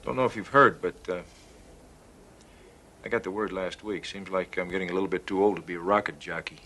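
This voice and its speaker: An actor playing a weary, dutiful middle-aged military pilot with clipped, resigned speech in a science fiction TV drama. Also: male voice, low voice, fairly even intonation, brisk, sharp tone.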